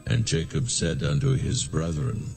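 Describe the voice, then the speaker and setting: A man narrating scripture from a script in a measured, solemn manner. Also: low voice, lively intonation, creaky, a little mellow, soft background music.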